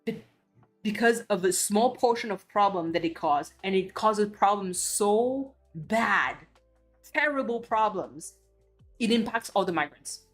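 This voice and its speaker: A woman's voice: medium pitch, lively intonation, measured pace, soft background music. Blunt ranting woman. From Belgium. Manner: blunt, heated ranting with cursing and restarts. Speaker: streamer. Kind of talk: casual chat.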